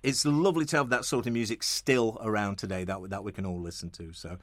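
A man's voice: lowish voice, steady tone, fast talker, dry recording, studio quality. A male radio presenter interviewing a guest, chatty and enthusiastic. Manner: chatty, enthusiastic, warm praise. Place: radio studio.

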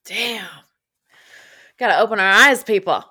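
A woman's voice: medium pitch, measured pace, bright, forceful tone, slightly rough. A woman hosting a podcast interview, gushing and upbeat, then reading her sign-off. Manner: gushing, upbeat, says "so good" often. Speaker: podcast host.

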